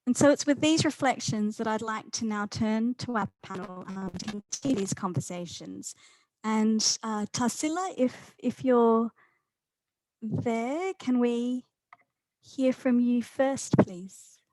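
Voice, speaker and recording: A woman's voice: fairly high pitch, slow, darkish tone, a little hiss or hum, rough recording. A woman hosting an academic seminar. She reads a prepared talk in a composed way and then politely hands over to a panelist. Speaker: seminar host and moderator.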